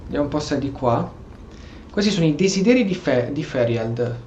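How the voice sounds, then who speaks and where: A man's voice: a little high, lively intonation, fast talker, a little mellow. A man with an Italian accent giving casual commentary as he plays a video game. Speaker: gaming streamer. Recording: echoey room, faint game noise.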